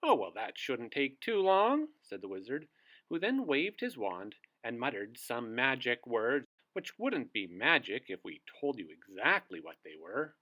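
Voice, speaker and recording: A man's voice: medium pitch, big pitch swings, a little slow, sharp tone. A man reading a story aloud for young children as a storybook host. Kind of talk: animated children's read-aloud.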